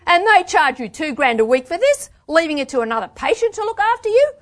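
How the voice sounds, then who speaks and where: A woman's voice: medium pitch, animated, a little fast, fairly bright tone, voice pushed up, close to an everyday voice. A livid woman carer performed by a woman reader in a storybook reading, her voice pushed well up from a normal register. She is blunt and accusing, confrontational and at the end of her patience. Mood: furious, accusing. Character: furious, exhausted woman carer, scathing and confrontational. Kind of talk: dramatic book reading.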